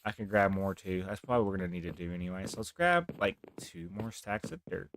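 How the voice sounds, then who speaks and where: A young man with a deep voice, rambling softly through casual live gameplay commentary as a streamer. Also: fast talker, slight background noise. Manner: rambling, talks softly, near whispering.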